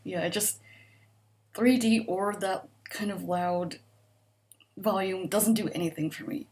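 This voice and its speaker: A woman with a quiet voice and a mild stutter, answering survey questions in a hesitant, casual chat. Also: mid-range voice, steady tone, unhurried. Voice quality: soft-spoken with a mild stutter. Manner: hesitant, with frequent ums and likes, laughs softly.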